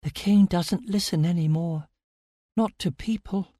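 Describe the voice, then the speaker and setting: A man putting on a high-pitched, despairing captive girl. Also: very animated, slow, mellow, no room sound, studio quality, exaggerated voice. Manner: urgent, despairing warnings. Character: frightened, resigned captive girl.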